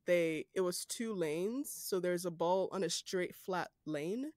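An animated interviewer with a Nigerian accent talks in loose, rambling turns full of fillers and bursts of laughter. The voice sits mid-range, and the recording is dry and close, with no room sound. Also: medium pitch, slow, no echo. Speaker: podcast host. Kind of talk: casual interview chat.

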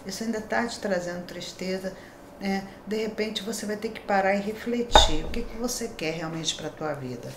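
Middle-aged female tarot reader, mid pitch, reassuring chatty delivery, echoey small room. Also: moderate pace, heavy background noise. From Brazil. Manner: chatty, reassuring, tag questions. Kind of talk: daily horoscope tarot reading. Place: small decorated room indoors.

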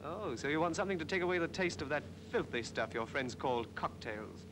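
A suave English man about town played by an actor in a 1940s film drama, confident and drily mocking. His intonation is lively, over a noisy rumble of a vehicle. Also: fairly quick, natural voice. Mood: dry, confident. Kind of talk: 1940s British film acting.

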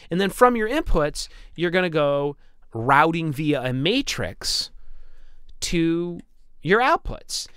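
A man with a smooth, clear voice gives an informal lecture as an instructor. He explains things conversationally, sometimes repeating a word as he goes. The sound is dry, with very little room in it. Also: on the high side, sing-song, slow pace, a bit bright, no echo. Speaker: instructor, audio engineer. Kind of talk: informal lecture over slides.

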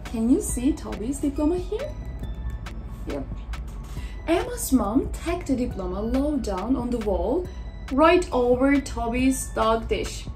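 A young woman with a high voice reads a children's picture book aloud. She is expressive and exaggerated, breaking off for short, simple reactions to the pictures. Music plays along with her. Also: slow pace. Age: young adult. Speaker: children's story reader.

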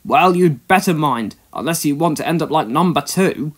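A man's voice: on the high side, animated, moderate pace. Guy doing a proud old granddad train from a storybook, fussy old-fashioned talk, voice swings about. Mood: stern warning. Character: proud, fussy, kindly old male steam engine.

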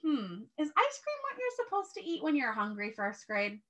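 A woman teacher reading aloud to young children, expressive and instructive, pausing to put questions to them. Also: high-pitched. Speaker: first grade teacher.

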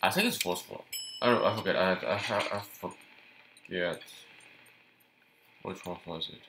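Gamer guy, very deep voice, loose rambling play-by-play, noisy recording. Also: moderate pace. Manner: chatty, thinks aloud, repeats words. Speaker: gameplay youtuber. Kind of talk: casual gameplay commentary.

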